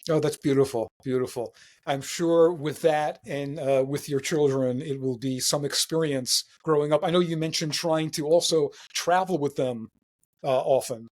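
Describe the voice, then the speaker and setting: A man's voice: a little high, fairly even intonation, normal speed, darkish tone. A man hosting an interview show, friendly and affirming, with frequent fillers. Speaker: interview host.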